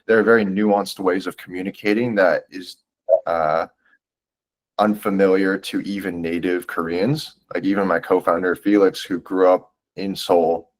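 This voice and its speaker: A man's voice: low-pitched, fairly even intonation, normal speed, low-quality recording. A man in his twenties from the United States talks as a podcast guest, earnest and reflective, with a loose, filler-heavy way of speaking. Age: 20s. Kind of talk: casual interview chat.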